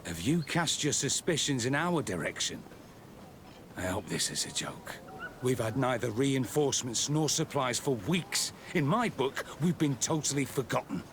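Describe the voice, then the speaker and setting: An actor playing a weary, embittered military captain in a video game cutscene, with a bitter, self-deprecating manner. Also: male voice, mid-range voice, lively intonation, normal speed, fairly bright tone, slight background noise. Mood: bitter, defensive.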